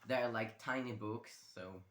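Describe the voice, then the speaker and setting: A man's voice: on the low side, measured pace, heavy background noise. Chatty young man. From Czech Republic. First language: Czech. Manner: chatty, rambling, with many fillers. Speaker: book vlogger. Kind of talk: casual chat. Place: bedroom. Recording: roomy bedroom echo.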